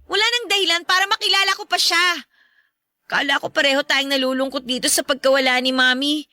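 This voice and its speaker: A woman's voice: high-pitched, steady tone, fairly quick, bright, forceful tone. A woman with a Filipino accent playing a grieving, stubborn teenage daughter in an emotional radio drama. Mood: upset, defiant.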